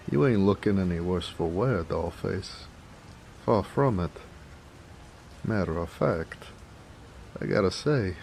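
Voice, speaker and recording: An actor playing a jovial, affectionate big 1920s mobster with an American accent, in a folksy, teasing noir audio drama. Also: male voice, low-pitched, darkish tone, slight background noise. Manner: folksy, teasing, chatty, chuckling. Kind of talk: noir romance audio roleplay, 1920s gangster slang. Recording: faint rain ambience behind voice. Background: rain.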